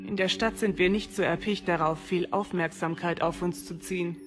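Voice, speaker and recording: A woman's voice: fairly low pitch, steady tone, normal speed, natural voice. A woman playing a sensible, bossy young leader of friends in an audio drama. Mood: brisk, friendly. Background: music.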